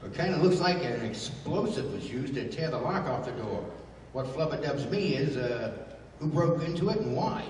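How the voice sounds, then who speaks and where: Man doing a high, swooping, folksy adventure sidekick. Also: expressive, moderate pace, a little mellow, lightly acted. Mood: puzzled, folksy. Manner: colloquial, blustery. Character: folksy, rough-and-ready chemist sidekick, eager for a scrap. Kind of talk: 1930s-style radio play acting. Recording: echoey hall from far off, faint noise.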